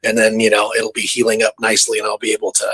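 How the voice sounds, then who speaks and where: A man's voice: on the low side, monotone, quick pace, sharp tone, slightly rough, poor audio. A man co-hosting a show, talking casually and somewhat hesitantly as he searches for his words. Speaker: podcast co-host. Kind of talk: casual conversational storytelling.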